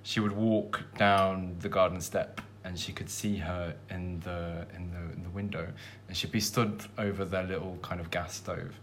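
A man's voice: low-pitched, steady tone, normal speed, hardly any pauses, a bit bright, a little hiss or hum. Rambling man with a Hull accent. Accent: Hull, England. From England. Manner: rambling, self-deprecating, teasing. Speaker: podcast co-host. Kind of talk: casual chat.

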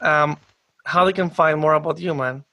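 Effusive host with a South American Spanish accent. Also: male voice, a little high, steady tone, moderate pace, lo-fi sound. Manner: effusive, friendly, loose wording. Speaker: podcast host. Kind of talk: casual podcast hosting.

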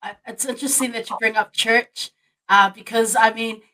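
A woman's voice: fairly high pitch, moderate pace, low-quality recording. Chatty woman hosting a podcast interview. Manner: chatty, expressive, lots of "like" and "you know". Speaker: podcast host. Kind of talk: casual interview chat.

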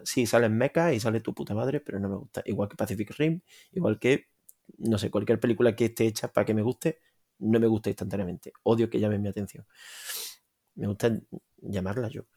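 A man with a Spanish accent co-hosts a film podcast in a casual, crude way, sarcastic and full of swearing. His voice sits low, with a gravelly, fried edge. His tone is dark and mellow. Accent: Spanish from Spain. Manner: sarcastic, profane, rambling rants. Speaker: podcast co-host.

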